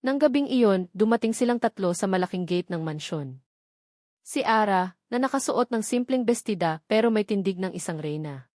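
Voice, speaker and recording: A woman's voice: mid-range voice, lively intonation, normal speed, a little mellow, dry recording. Female narrator for dramatic fiction; mid-range voice, dry studio sound. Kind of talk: scripted dramatic story narration.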